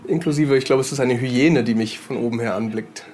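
A man speaking casually and reflectively in a measured, descriptive way as a podcast participant. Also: mid-range voice, a little mellow. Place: indoor exhibition room.

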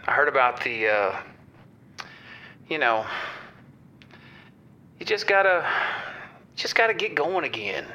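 An actor playing an awkward father who leaves a halting voicemail full of fillers. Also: a little high, big pitch swings, pushed and bright, heavily acted. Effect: answering machine playback. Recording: dull voicemail playback.

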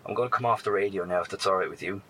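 A man playing a wary, kind survivor in a post-apocalyptic horror audio drama, speaking in a hesitant, measured, reassuring way. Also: sits low, bright, forceful tone, a little hiss or hum. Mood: uneasy. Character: wary, kind male survivor scouting the wasteland by radio. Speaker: actor.

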